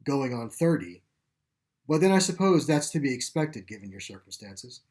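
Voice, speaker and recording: A man reads the part of a genial middle-aged gentleman who looks after an animal sanctuary in a short story. He speaks courteously and reassuringly, with an unhurried, slightly old-fashioned formality. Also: mid-range voice, very animated, lightly acted. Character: genial middle-aged male sanctuary caretaker, retired veterinarian.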